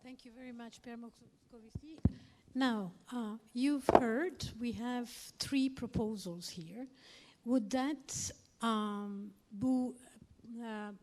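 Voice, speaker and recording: A woman's voice: fairly high pitch, darkish tone. A woman moderating a panel without a script, searching for words as she frames a question. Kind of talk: unscripted moderating.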